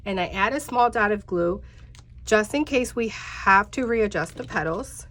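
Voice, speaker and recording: A woman's voice: medium pitch, a little slow, a bit bright. A woman in her thirties, a bit congested and nasal, chatting casually to viewers while leading a step-by-step craft tutorial. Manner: chatty, talks straight to viewers, many fillers.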